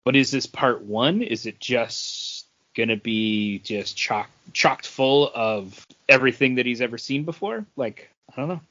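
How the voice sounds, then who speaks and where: A man's voice: medium pitch, measured pace, hardly any pauses, fairly bright tone, highs cut off. A man co-hosting a podcast, chatting casually about films and comics. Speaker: podcast co-host.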